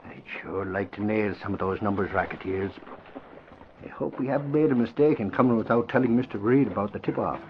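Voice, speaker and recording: An actor playing an excitable, blustering newspaperman with folksy exclamations, in 1940s radio drama style. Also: on the low side, brisk, a little hiss or hum, close to an everyday voice. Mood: hushed. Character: excitable, blustering Irish male newspaper bodyguard-reporter. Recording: muffled vintage radio broadcast.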